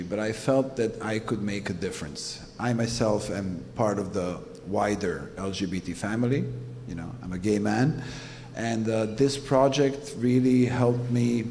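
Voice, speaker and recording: A man from Serbia, a photographer answering questions in a panel discussion, speaking earnestly with many pauses and fillers. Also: fairly low pitch, mellow, slight background noise. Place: museum exhibition hall. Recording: hall reverb, faint ambience.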